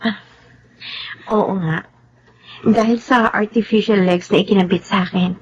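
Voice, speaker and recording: A woman acting a wounded, emotional young heiress and daughter in a Filipino radio drama, with a husky voice. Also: medium pitch, steady tone, unhurried, a little mellow, lo-fi sound, slight background noise, lightly acted. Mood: sorrowful. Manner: plaintive, emotional.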